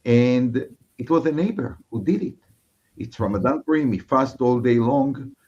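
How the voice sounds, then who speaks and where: An Israeli man, a native Hebrew speaker, talks as a guest rabbi in reflective conversation. His accent is Israeli and his pitch is average for a man. He speaks haltingly, feeling for each word, on slightly dull, muffled audio. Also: lively intonation, dark tone. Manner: halting, searching for words.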